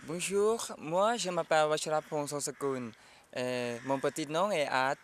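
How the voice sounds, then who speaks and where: Man from Thailand, mid pitch, slow and hesitant, faint ambience. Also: a little hiss or hum. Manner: hesitant, many fillers. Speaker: student.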